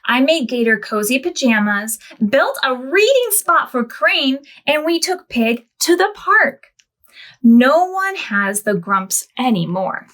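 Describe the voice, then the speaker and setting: A cheerful, imaginative little girl voiced by a woman reading a storybook aloud chirps and exclaims in a high voice that leaps up and down. Her delivery is slow and deliberate, as in a children's picture book. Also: sing-song, exaggerated voice. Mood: eager. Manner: bright, eager, sing-song exclamations.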